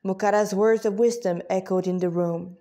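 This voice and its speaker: A woman's voice: mid-range voice, steady tone, moderate pace, dark tone. A woman narrating a story aloud in amateur fashion, correcting herself and adding asides as she goes. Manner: stumbling reading with self-corrections and asides. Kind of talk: amateur storytelling read-aloud with character dialogue.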